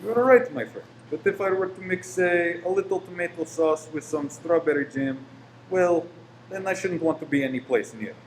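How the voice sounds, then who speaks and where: A man's voice: a little high, normal speed, natural voice. A man acting in a radio play as a polite, reassuring shop professor who is secretly a bomb-making conspirator. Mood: quietly conspiratorial. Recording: roomy echo with faint noise.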